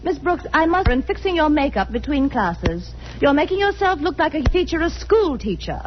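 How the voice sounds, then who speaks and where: A muffled old radio comedy with faint hiss features a haughty woman reporter. She speaks high and briskly, with arch self-assurance. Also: sing-song. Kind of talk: 1940s radio sitcom acting.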